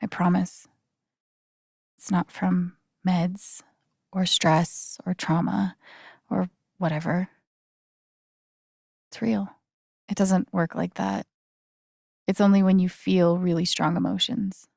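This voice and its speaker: A woman's voice: lowish voice, level tone, slow pace, creaky, long pauses, darkish tone, no echo, clean studio sound, exaggerated voice. An actress playing a guarded, wry young woman in a video game, speaking in a casual, hesitant, understated way. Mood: vulnerable, earnest. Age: young adult. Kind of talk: naturalistic video game voice acting.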